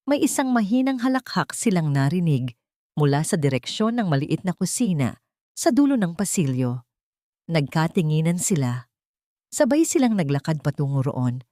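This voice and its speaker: Lady reading a melodramatic story, pretty low voice, really animated, dry recording. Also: dry recording. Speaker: narrator. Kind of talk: dramatic scripted story narration.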